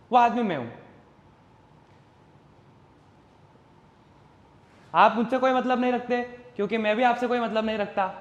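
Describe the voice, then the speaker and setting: A man performing a stage monologue as an uncertain, philosophical common man, speaking deliberately and reflectively straight to the audience. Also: very high-pitched, muffled recording. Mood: searching, resigned. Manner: deliberate, reflective, rhetorical, addressing the audience directly. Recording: roomy echo with long reverb.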